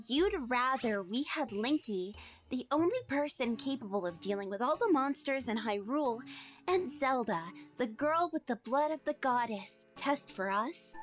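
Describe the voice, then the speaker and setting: A woman voicing a bossy, unfazed little-girl scientist in an audio drama, teasing and brisk. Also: high-pitched. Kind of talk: fan audio drama acting.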